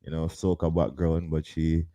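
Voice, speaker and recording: A man's voice: a bass voice, little pitch movement, normal speed, mellow. A man hosting a casual interview, repeating words and filling with "you know" as he asks questions. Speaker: interview host.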